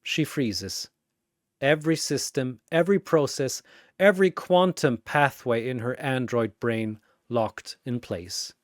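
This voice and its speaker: A man narrating a dramatic battle story in a theatrical, measured way, with pauses between short phrases. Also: medium pitch, no room sound, clean studio sound.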